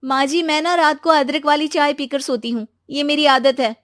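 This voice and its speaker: A high, girlish voice put on by a woman storyteller for an eager young bride-to-be in a moral story. She is chatty, animated and outspoken. The sound is close and dry. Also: normal speed, dry recording. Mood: defensive.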